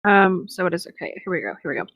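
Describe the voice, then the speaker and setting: A woman's voice: lowish voice, animated, very fast, a bit bright, no room sound. A woman co-hosting a casual comic read-aloud, informal and giggly, often breaking off from the text to comment. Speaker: podcast co-host reading a story aloud.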